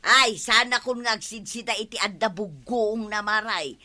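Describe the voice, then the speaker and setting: An actress playing a blunt, excitable village matron in an Ilocano radio comedy, exclaiming and teasing in an Ilocano accent. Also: female voice, mid-range voice, a little slow. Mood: scolding.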